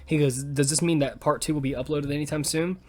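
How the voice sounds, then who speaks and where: A man's voice: fairly high pitch, steady tone, quick pace. A young man hosting a podcast in casual, slangy banter. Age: young adult. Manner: informal, slangy, swears freely. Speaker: podcast host and audio fiction writer. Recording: music behind the voice. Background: music.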